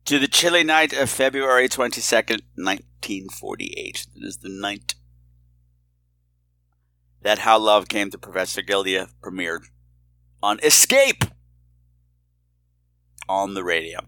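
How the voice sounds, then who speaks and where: A man's voice: on the low side, lively intonation, measured pace, pauses often, pushed and bright, no echo. A man hosting a podcast with a casual, rambling introduction, informal and scattered with theatrical flourishes. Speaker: podcast host.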